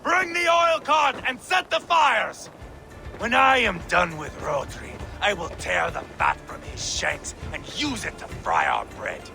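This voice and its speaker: Ruthless Viking warlord, gravelly, wildly swooping pitch, boastful, vengeful, video game drama. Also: male voice, huge pitch swings, unhurried, few pauses, over music, heavily acted. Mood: furious, taunting.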